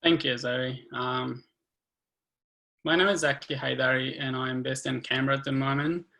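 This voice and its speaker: A man in his twenties from Afghanistan, speaking earnestly and haltingly with many fillers on a video call. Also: medium pitch, level tone, creaky, bright, forceful tone, low-quality recording. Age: 20s. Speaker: guest speaker. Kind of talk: personal testimony on a webinar.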